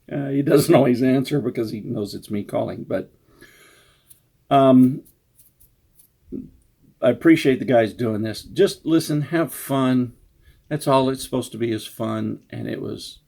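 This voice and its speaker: A man's voice: medium pitch, gravelly, a little mellow, breathy edge. A man giving a casual, unscripted introduction as an author, rambling and self-deprecating. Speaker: author introducing his story.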